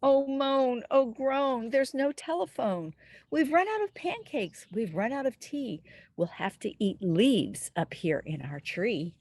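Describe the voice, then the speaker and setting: A woman reading a picture book aloud to young children outdoors, expressively, pausing to put questions to the listener. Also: fairly high pitch, animated, darkish tone, no room sound. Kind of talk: animated read-aloud storytelling for young children. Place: outdoors in a backyard with trees.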